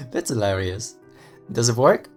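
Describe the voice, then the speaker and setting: Man playing a cocky, excitable young American slacker. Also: medium pitch, lightly acted. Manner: mocking, excitable, slangy. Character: cocky, amused, sarcastic young male slacker friend. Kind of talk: dramatized audio story dialogue.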